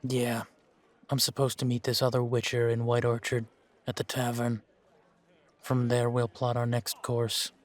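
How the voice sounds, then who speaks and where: Slow-talking, world-weary monster hunter for fantasy audio roleplay, male actor, flat delivery, laconic and musing. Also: medium pitch, natural voice. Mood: mildly grumbling, then wary, dry. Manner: laconic, musing, measured with pauses. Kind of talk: fan-made roleplay audio drama, unhurried monologue to a companion.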